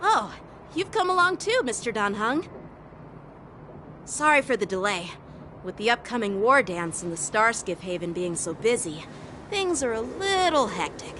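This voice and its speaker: A woman's voice: fairly high pitch, sing-song, normal speed, pushed and bright, slight background noise. A woman voicing an eager, chatty teenage boy swordsman in a video game, speaking politely and earnestly. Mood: polite, friendly. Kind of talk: English video game voice acting. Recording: game music behind the voice.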